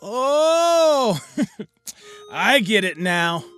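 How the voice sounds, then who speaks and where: A woman playing a skeptical, easily startled young woman in an expressive audio drama. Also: a little high, extremely slow, smooth, heavily acted. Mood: disbelieving.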